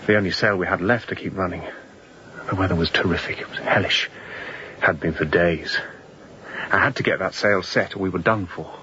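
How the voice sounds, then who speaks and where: An actor playing an exhausted, intense, unrepentant fugitive chief mate in a radio drama, speaking in clipped, grim sentences. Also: male voice, sits low, fairly even intonation, a little fast, pushed and bright, lo-fi sound, a little hiss or hum. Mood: bitter, intense. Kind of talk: radio drama acting, confessional storytelling.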